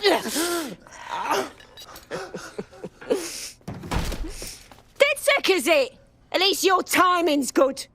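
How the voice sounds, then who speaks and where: A woman's voice: very high-pitched, unhurried, noisy background. A woman playing a ruthless, defiant crime boss in a video game cutscene, taunting and theatrical with a British accent. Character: ruthless defiant female crime boss, sneering and foul-mouthed.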